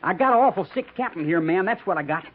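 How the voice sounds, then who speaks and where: A man's voice: high voice, animated, fast, slightly rough. An American man playing an anxious, dutiful young cavalry trooper, pleading and deferential, in a 1950s radio western. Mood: pleading, strained. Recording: tinny, noisy 1950s radio recording.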